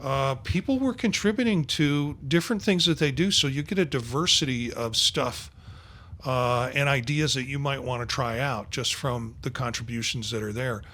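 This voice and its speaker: A man's voice: mid-range voice, moderate pace, a bit bright. A man in his sixties hosting a podcast panel, rambling along with many fillers. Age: 60s. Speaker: podcast host. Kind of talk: casual panel chat.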